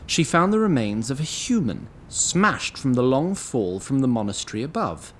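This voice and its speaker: A man narrating an audio drama, reading scripted story in an animated, slightly wry manner. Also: mid-range voice, normal speed, slight background noise.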